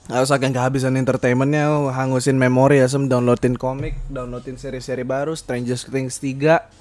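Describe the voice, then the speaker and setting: A man's voice: medium pitch, level tone, fairly quick, a little mellow. A young man hosting a casual podcast, rambling with many fillers and self-corrections and laughing often. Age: young adult. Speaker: podcast host. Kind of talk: casual solo podcast chat.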